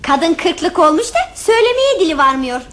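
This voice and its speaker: A woman's voice: very high voice, big pitch swings, moderate pace, fairly bright tone, exaggerated voice. A woman in a radio play voicing a stubborn little girl who is the youngest of several siblings.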